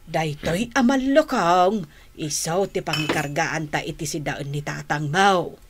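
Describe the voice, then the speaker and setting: A woman's voice: sits low, unhurried, background noise. A woman acting in a radio drama as a scheming, coaxing middle-aged wife and mother with an Ilocano accent. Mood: plotting.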